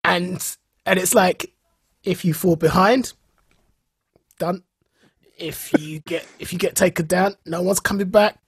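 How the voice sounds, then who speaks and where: Husky man chatting, close and dry. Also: high voice, measured pace, pauses often, dry recording. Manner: animated storyteller, repeats phrases for emphasis. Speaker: podcast co-host. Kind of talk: casual chat recounting and reviewing a show.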